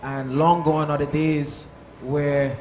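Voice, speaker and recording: A man's voice: a little high, flat delivery, slow pace, a little mellow. A man from Harlem, a soca artist, answering casually as part of a panel. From Harlem, New York. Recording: echoey room, faint background noise.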